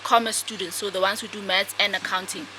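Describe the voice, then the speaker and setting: Soft-spoken young woman on a noisy podcast. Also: medium pitch, steady tone, a little fast. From South Africa. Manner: soft-spoken, hesitant with fillers. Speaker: guest, author and speaker. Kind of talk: casual interview answers.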